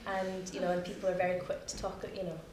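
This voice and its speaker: A young woman with a Scottish accent, a playwright chatting in a post-show discussion, self-mocking and quick to laugh. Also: fairly low pitch, a little mellow. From Scotland. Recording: echoey room, distant mic, noisy.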